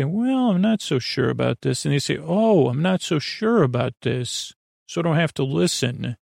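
A man hosting a bedtime podcast in a rambling monologue, with a gravelly voice and a bumbling way of circling around his point. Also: medium pitch, darkish tone, dry recording, studio quality. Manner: meandering, bumbling, trailing off and circling back. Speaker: podcast host.

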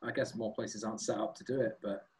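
A man who sings and plays guitar in a band, chatting as a guest in a relaxed, rambling, self-deprecating way. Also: fairly low pitch, quick pace, low-quality recording. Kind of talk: casual chat.

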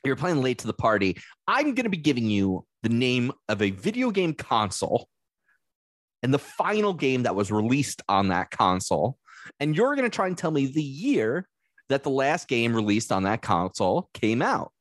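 A man's voice: mid-range voice, very animated, moderate pace, no echo. A man hosting a casual podcast and running a quiz game, loose and profane, laughing often, with a dry throat and a lingering cough.

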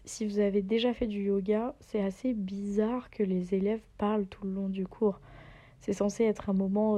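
A woman's voice: mid-range voice, mellow. A young French-speaking woman who teaches yoga, chatting casually with lots of fillers and often dropping to a near whisper. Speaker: yoga teacher and podcast host. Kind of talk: casual spoken travel diary. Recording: slightly dull lo-fi sound.

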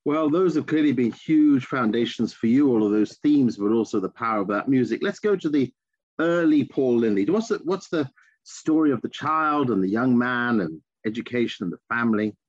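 An older man hosting a podcast, introducing his guest in a formal, measured way. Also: medium pitch, expressive, a little mellow, no room sound. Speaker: podcast host. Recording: dull lo-fi sound with faint music.

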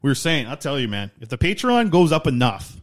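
A man's voice: mid-range voice, big pitch swings. A man hosting a podcast, rambling eagerly in casual chat. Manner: fast, eager, rambling plugs. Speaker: podcast host.

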